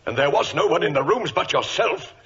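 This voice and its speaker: A man's voice: a little high, animated, a little fast, bright, forceful tone. A man playing a deferential, plain-spoken night porter in a 1940s radio drama, with a working-class British accent. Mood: alarmed. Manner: deferential, colloquial. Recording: noisy, dull old radio recording.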